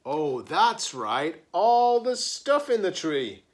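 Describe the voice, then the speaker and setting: Slow, very animated man reading aloud. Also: sits high. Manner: playful, dramatic emphasis and pauses. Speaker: teacher-librarian reading a picture book aloud. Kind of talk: animated children's read-aloud with chatty asides.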